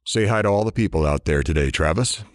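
A sarcastic, irreverent morning radio DJ from an audio drama, played by a man. His low voice jumps about with showman energy. The sound is dry and close. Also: expressive, fast talker, no room sound. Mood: teasing. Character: brash, sarcastic morning shock-jock radio DJ. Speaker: radio DJ host.

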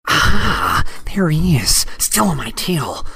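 An actor playing a scheming, gloating gangster boss with a theatrical, gravelly delivery in an audio drama. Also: male voice, fairly high pitch, sing-song, slow pace, bright, forceful tone, exaggerated voice. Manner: theatrical, muttering to himself.

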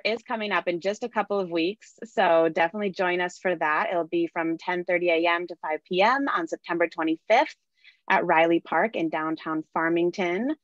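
A young woman with a low voice reads a prepared introduction in a steady, scripted way. Her audio is dry and muffled, like a typical video call connection. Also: dry recording. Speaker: nonprofit media and outreach manager. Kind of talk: reading an event introduction. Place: indoor room, video call.

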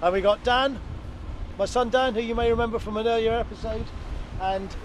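A middle-aged man with a fairly high voice talks casually and gives steady sailing commands. He is recorded by an action camera on an open boat on the water. A light wash of wind sits under his voice. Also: fairly quick, low-quality recording. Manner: chatty, gives calm helm commands. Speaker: boat owner and channel host. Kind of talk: casual vlog narration and sailing instruction. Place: open sailboat cockpit on the water.

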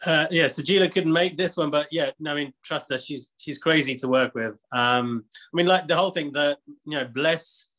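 A man's voice: on the high side, moderate pace, few pauses, fairly bright tone. Rambling young man on a video call. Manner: rambling, self-deprecating, filler-heavy. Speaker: film director. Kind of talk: casual chat in a video call Q&A.

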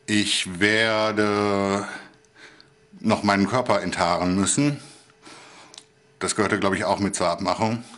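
A man in his thirties speaking hesitantly and deadpan in a video diary, recorded indoors on a camera. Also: lowish voice, takes it slowly, long pauses. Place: small room in a flat, indoors.

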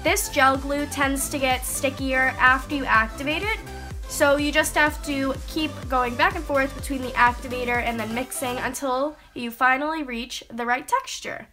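A high, bright-voiced young woman recovering from a cold talks through a craft tutorial with bubbly enthusiasm. Music plays steadily beneath her voice-over. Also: fairly even intonation, moderate pace. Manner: bubbly and enthusiastic, explaining step by step. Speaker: slime-making channel host. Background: background music.

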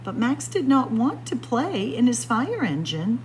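Woman, children's read-aloud, expressive, deliberate, slow pace, faint background noise. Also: a little high, low-quality recording. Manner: expressive, deliberate, addressing young listeners as friends. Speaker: librarian storyteller. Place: indoor library room with bookshelves.

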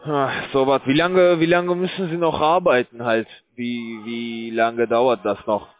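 A man calling from a call center, rambling in a bored, crude, halting way. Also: mid-range voice, level tone, soft background music. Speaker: call center scam caller. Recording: dry tinny phone line.

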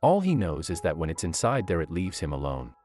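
A man narrating a movie recap in a scripted, steady storytelling manner. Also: sits low, a little fast, faint music behind.

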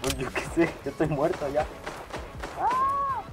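A Mexican-accented man banters in slangy, crude game chat, his pitch swinging widely. Loud music and gunfire sit over the voice chat. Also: wildly swooping pitch, unhurried. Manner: crude, swearing. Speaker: squad teammate in game voice chat. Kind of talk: slangy casual gaming banter.

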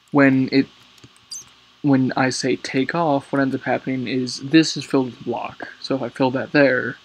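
A man casually walking through his game build, rambling and hesitant with many pauses, his voice a little raspy because he is lying down. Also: mid-range voice, a little hiss or hum, rough recording. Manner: rambling, hesitant, lots of ums.

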